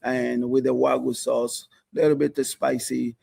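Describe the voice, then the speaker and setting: A chef from South Africa talks in a halting way, full of fillers and restarts. His voice has a gravelly edge. The sound is dry and close. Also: mid-range voice, level tone, mellow, dry recording. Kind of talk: casual TV interview chat.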